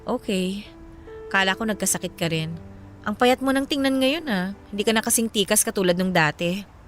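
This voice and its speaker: A woman's voice: medium pitch, moderate pace, soft background music, natural voice. A woman playing a guarded, earnest ex-girlfriend in a radio drama, firm and matter-of-fact, with a Filipino accent. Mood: wary, dry.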